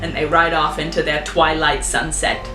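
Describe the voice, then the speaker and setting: A woman's voice: low voice, little pitch movement, moderate pace. A woman telling an improvised spooky tale in a playful, chatty way, laughing at herself. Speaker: storyteller. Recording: roomy, off-mic, music behind.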